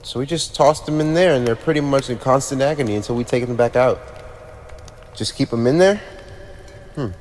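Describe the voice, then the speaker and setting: A man's voice: mid-range voice, a little fast, long pauses, darkish tone, close to an everyday voice. A man playing a smug, teasing, playfully menacing teenage demon prince in an ASMR roleplay, talking straight to the listener in a conversational, mock-formal way. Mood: smug, businesslike. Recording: echoey reverberant room with clear background noise.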